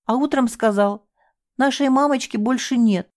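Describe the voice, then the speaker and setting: Hysterical young woman, high and shrill, bitter and raw, read by a woman narrator in a dry, close audiobook. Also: moderate pace, mellow, dry recording, close to an everyday voice. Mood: furious. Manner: sobbing, shrill, desperate pleading.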